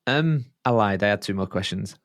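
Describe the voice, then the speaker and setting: A man's voice: fairly low pitch, lively intonation, moderate pace, fairly bright tone, no echo, studio quality. A man hosting a podcast interview, chatting casually in a friendly, hesitant way with fillers and half-started phrases.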